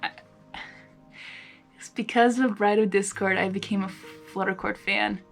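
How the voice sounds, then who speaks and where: A woman's voice: medium pitch, fairly even intonation, a little slow, creaky. Female fan-channel host, mid-range voice, informal and gushing, over faint music. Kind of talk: casual reaction chat between readings.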